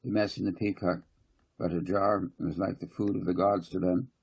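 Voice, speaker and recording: A man narrates a children's story in a low, mellow voice. It is gravelly and husky, and he reads in a slightly halting storytelling style. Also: level tone. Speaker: author narrating own children's novel.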